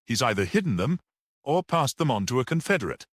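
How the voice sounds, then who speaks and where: A man acts out a self-satisfied detective in a storybook mystery. He is grave and matter-of-fact, with animated intonation and a dry, close sound. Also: medium pitch, a little fast, no room sound, voice pushed up. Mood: assured.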